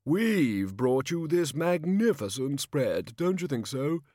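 A man's voice: a little high, sing-song, slow pace, darkish tone, dry recording, studio quality, pitched above the performer's own voice, full character voice. A man voicing a hearty, overbearing storybook king with pompous, jovial upper-class bluster. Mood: hearty, bluff. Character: hearty, overbearing king, forcing his charity.

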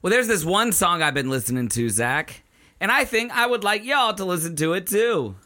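A man co-hosting a music podcast, relaxed and stammering a bit as he thinks aloud. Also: high voice, moderate pace. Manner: laid-back, laughs often, stammers when thinking. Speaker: podcast co-host. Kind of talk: casual music chat.

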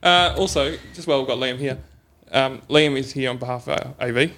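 A man's voice: medium pitch, a little fast, sharp tone. A man co-hosting a podcast in casual, dry conversation. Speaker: podcast co-host. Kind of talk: casual chat.

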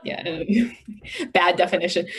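A young woman with an American accent, chatting casually and thinking aloud in a halting, giggly way. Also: on the low side, animated, a bit bright, slightly rough. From United States. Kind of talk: casual chat.

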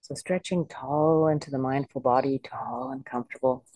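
Meditation teacher guiding slowly, dry close sound. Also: medium pitch, fairly even intonation, darkish tone, dry recording. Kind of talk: guided body scan meditation.

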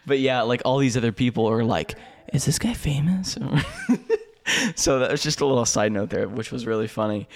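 Gravelly-voiced American man telling stories. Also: medium pitch, normal speed. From United States. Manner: storytelling, chuckling often, many fillers and restarts. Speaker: podcast co-host. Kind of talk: casual chat.

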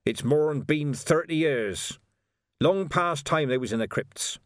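A man's voice: mid-range voice, lively intonation, normal speed, a bit bright, no room sound, clean studio sound, pitched above the performer's own voice. A man voicing a morbid, sulky, pleading gravedigger in an audiobook. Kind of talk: audiobook character voice.

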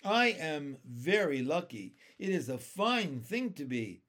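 Man voicing a high, prim lady ladybug. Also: big pitch swings, takes it slowly, a bit bright, exaggerated voice. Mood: modest pride. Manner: modest yet snobbish, genteel. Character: proud, prim, chatty female ladybug.

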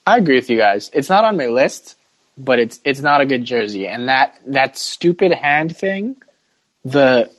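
Blunt man hosting a sports podcast, medium pitch. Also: big pitch swings, a little slow, a bit bright, low-quality recording. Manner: blunt, informal, questioning. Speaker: podcast host. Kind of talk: casual sports podcast chat.

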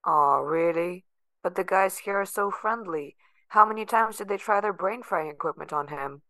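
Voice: mid-range voice, normal speed, sharp tone, dry recording. An actor playing a sardonic, guarded young adult patient held in a facility, narrating in the first person with bitter sarcasm. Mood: sarcastic.

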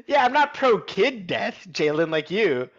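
High-pitched young man bantering, slightly dull audio. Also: very animated, unhurried. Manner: teasing, mock-combative, slangy. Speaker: podcast co-host. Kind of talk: casual banter.

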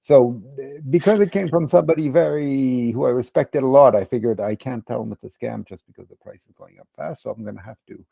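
A middle-aged man from the United States with a gravelly, husky voice, explaining casually as an interview guest and often rephrasing himself. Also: medium pitch, fairly quick, hardly any pauses, dark tone, dry recording, low-quality recording. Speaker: podcast guest, crypto educator and former bond trader.

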